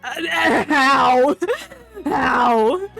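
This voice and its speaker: A woman's voice: high-pitched, very slow, creaky, a bit bright, faint music behind. A woman streaming a game with casual, slangy, joking commentary, reacting to the story. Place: streaming setup, game audio behind.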